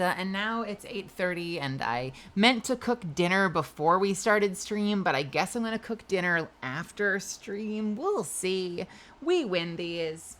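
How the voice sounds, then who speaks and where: A woman with a noticeably nasal, slightly low voice chats loosely on her live stream. Her pitch swings widely between words, and she drops the odd swear as she greets viewers and reacts to chat. The delivery is relaxed and conversational. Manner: chatty and loose, frequent swearing and laughs. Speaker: livestream host.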